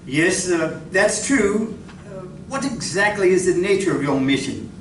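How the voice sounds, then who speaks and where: A man's voice: sits high, animated, measured pace. A man playing an earnest, inquisitive scientist in a radio drama, with a careful, questioning and slightly hesitant delivery. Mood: cautious, questioning. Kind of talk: live radio drama acting. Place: library community room, live performance. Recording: echoey room from far off, noisy.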